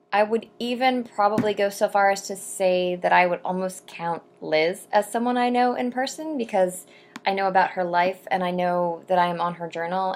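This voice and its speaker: A young adult woman chatting to camera in a casual vlog, rambling with frequent ums and asides, and reading poetry slowly and deliberately. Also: mid-range voice. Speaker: vlogger answering viewer questions.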